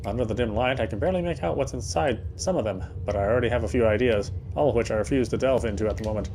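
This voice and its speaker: A man's voice: medium pitch, rapid-fire, darkish tone, slight background noise. A man reading first-person game narration aloud in a dramatic storytelling style, now and then stumbling over words.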